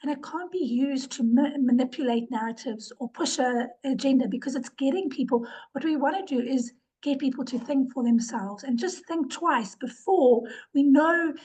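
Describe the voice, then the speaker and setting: Woman presenter, ill and hoarse, high and husky, earnest webinar talk with fillers. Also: moderate pace, hardly any pauses, a little mellow, rough recording. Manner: earnest, repeats words for emphasis, with fillers. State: ill, losing her voice. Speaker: webinar presenter, organization director.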